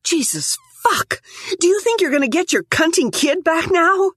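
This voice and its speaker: A woman's voice: very high voice, very animated, normal speed, a bit bright, no room sound, clean studio sound, pitched above the performer's own voice, heavily acted. A woman voicing a tough young cyborg mercenary, a hardened fighter who screams profanities in grief and rage. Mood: screaming rage.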